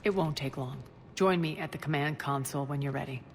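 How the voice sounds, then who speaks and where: A ruthless alien crime queen from a video game. Her voice is fairly low, imperious and sardonic, issuing clipped commands like someone used to being obeyed. Faint noise and game ambience sit behind her. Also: brisk. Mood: brisk command. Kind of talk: video game cutscene acting.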